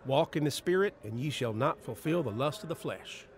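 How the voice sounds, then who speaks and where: An actor in an audio drama playing a steady, devout friend and mentor with a measured, exhorting way of talking. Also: male voice, a little high, natural voice. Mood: earnest counsel.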